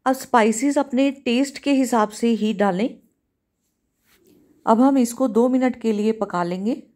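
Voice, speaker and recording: A woman cooking-channel host, giving a calm, step-by-step recipe voice-over in a home kitchen. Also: fairly high pitch, unhurried. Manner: calm, instructive, repeats steps.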